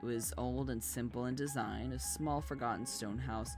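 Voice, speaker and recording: A streamer with a mid-range voice reads a story aloud. The delivery is informal, slipping into chatty, sweary asides to the viewers. Music plays clearly behind the voice. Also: medium pitch, steady tone, moderate pace. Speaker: streamer reading a book aloud. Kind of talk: bedtime story reading with casual chat asides. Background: background music.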